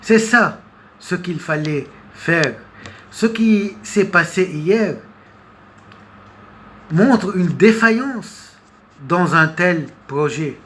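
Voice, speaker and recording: Very slow, halting man giving commentary. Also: sits high, expressive, fairly bright tone. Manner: halting, hesitant, with long pauses and repeated words. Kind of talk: unscripted political commentary monologue. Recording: noticeable background noise.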